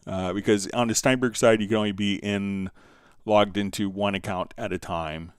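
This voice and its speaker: A man hosting a livestream tutorial, answering viewer questions in a casual, halting, rambling way. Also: deep voice, clear, resonant tone, no room sound, clean studio sound. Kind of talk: unscripted software tutorial, casual explaining.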